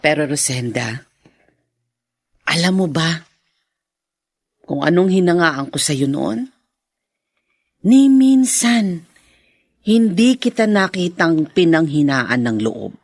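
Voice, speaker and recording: A woman's voice: sits low, animated, takes it slowly, long pauses, a little mellow, breathy edge, dry recording, exaggerated voice. A woman playing a concerned, inquisitive older friend in a Filipino radio drama, with a Filipino accent. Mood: concerned, admiring.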